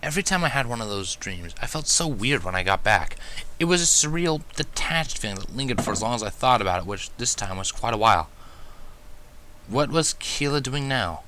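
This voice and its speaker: A man reading fan fiction aloud as an expressive first-person narrator, with animated, self-mocking asides. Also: mid-range voice, brisk, a little hiss or hum, low-quality recording.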